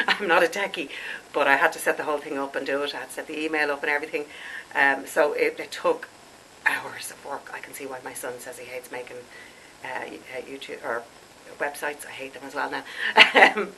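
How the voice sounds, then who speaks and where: A woman's voice: extremely low pitch, steady tone, brisk, pushed and bright, slight background noise, poor audio. A woman in her late forties, congested and stuffed up, chatting and rambling to camera as a skincare vlogger and laughing at herself. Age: late 40s. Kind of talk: casual chat to camera.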